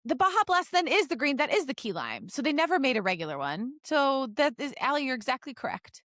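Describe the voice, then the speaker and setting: A woman with a fairly high voice co-hosts a comedy podcast. Her delivery swings animatedly in pitch and is casual, teasing and full of laughter. The recording is close and dry. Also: big pitch swings, quick pace, a bit bright, no echo. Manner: playful, teasing, laughs often. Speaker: podcast co-host.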